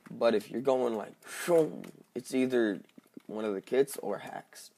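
A man with a slightly hoarse, just-woken voice giving casual, halting gameplay commentary as he thinks aloud. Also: medium pitch, warm tone. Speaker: gaming video host.

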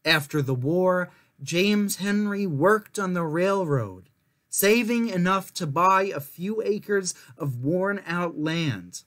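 A young man reading a children's picture book aloud as a storyteller, in measured, clearly phrased narration. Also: high voice, lively intonation, fairly bright tone. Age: young adult. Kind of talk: scripted narration, story time read-aloud.

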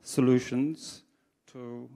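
A man, giving a conference talk on stage into a handheld mic, measured and thoughtful with frequent ums and restarts. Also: medium pitch, flat delivery, mellow. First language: English. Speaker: conference speaker. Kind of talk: conference presentation. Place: dark theatre stage with projection screen. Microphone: handheld microphone. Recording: long reverb of a large theatre hall.

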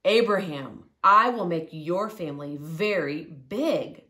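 A woman's voice: on the low side, slow, bright, forceful tone. Woman voicing a solemn, emphatic storybook God. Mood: solemn promising. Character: God as a tender, assured storybook promiser.

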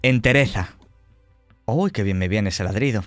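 A man's voice: on the low side, normal speed, no echo, faint music behind. A man running a tabletop horror roleplaying game, narrating in a dramatic, suspenseful way. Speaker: game master.

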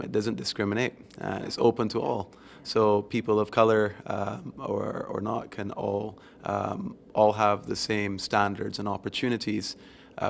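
A man from Barbados answering interview questions in a measured, reflective way with frequent ums, speaking into a handheld mic at an event venue. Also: fairly low pitch, creaky, slight background noise. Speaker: interview guest. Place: event venue with windows, seated on sofa.

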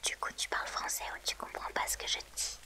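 A woman streamer whispering softly and gently to her viewers in an ASMR livestream, close to the mic. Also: fast talker.

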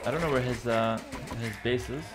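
A young man with a slightly raspy voice casually commenting on a strategy game he is playing while thanking chat, talking into a headset in a small room. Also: mid-range voice, a little fast, noisy background. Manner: relaxed, thinking aloud, reading chat. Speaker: streamer. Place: small home room, indoors.

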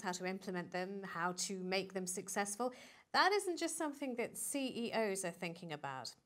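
A woman in her thirties presents from a studio, reading scripted links with a smooth, polished delivery. Her voice sits at a middle pitch. The sound is clean and close. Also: fairly bright tone. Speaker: event host. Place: studio set.

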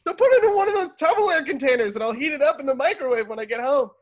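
A performer voicing a cranky, loud old male talent agent with a blustering manner over a video call. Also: high-pitched, lively intonation, rapid-fire, pitched above the performer's own voice. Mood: exasperated. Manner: exasperated, blustering.

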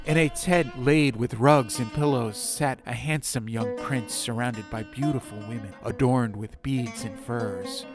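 A man tells a fantasy tale in a measured, dramatic reading voice. His pitch sits in an ordinary middle range. Ambient music plays clearly under the whole telling. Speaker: audiobook narrator. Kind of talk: atmospheric audiobook narration. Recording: music behind.

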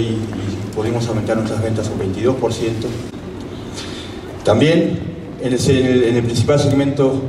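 A middle-aged man speaks into a handheld microphone in a large exhibition hall. His delivery is measured and full of figures, with frequent "¿sí?" check-ins. The sound is roomy and picked up from some distance, with a steady hall noise behind him. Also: mid-range voice. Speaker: company executive presenting at a trade show. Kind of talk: corporate presentation speech. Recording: echoey hall, taped from a distance.

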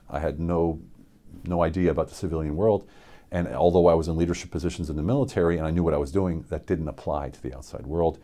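A middle-aged man from Pennsylvania, a military veteran, gives an unscripted personal testimony in a studio, earnest and reflective, with many halting fillers and restarts. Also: extremely low pitch, darkish tone. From central Pennsylvania, USA. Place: indoor studio with dark backdrop.

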